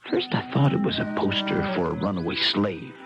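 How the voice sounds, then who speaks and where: An easygoing, kindly fellow spinning a yarn with lively pitch. His talk is folksy and colloquial, in an old radio drama with a dull, lo-fi sound. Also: brisk, gravelly, over music. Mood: reminiscing. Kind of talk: 1970s radio drama acting and narration. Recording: dull 1970s radio broadcast.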